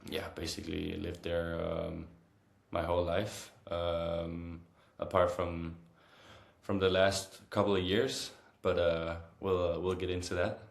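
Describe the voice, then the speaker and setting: A young man with a Danish accent and a low voice speaks in a very flat, unhurried way. He pauses often with hesitant fillers as he answers casually as an interview guest. The sound is roomy and echoing. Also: gravelly. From Copenhagen, Denmark. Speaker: interview guest, football goalkeeper.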